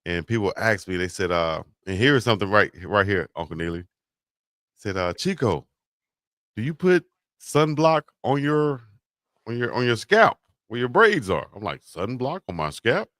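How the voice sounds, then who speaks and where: A man's voice: fairly low pitch, very animated, normal speed, a bit bright, no echo, clean studio sound. A middle-aged man co-hosting a casual sports chat, telling stories in a laid-back way with plenty of fillers. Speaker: co-host. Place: Hawaii, at home on a live stream.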